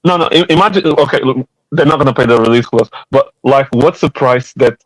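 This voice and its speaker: A man's voice: mid-range voice, a little fast, no room sound, poor audio. A man in a casual football podcast debate, talking with many fillers and restarts.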